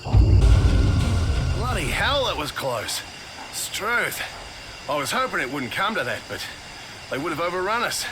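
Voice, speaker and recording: An actor playing a harried, blunt survivor with an Australian accent in a video game, talking colloquially. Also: male voice, on the high side, very animated, slow, pauses often. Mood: shaken. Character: harried, down-to-earth Australian male survivor defending camp. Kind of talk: video game cutscene acting. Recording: game audio with clear ambient noise.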